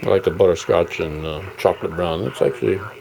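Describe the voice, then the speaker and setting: A man's voice: sits low, quick pace, creaky, noisy background. A man giving a casual, unscripted demonstration as a hobbyist, musing and plain-spoken with hesitations. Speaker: radio restorer presenting his work; college-trained audio engineer. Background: tube radio playing at times.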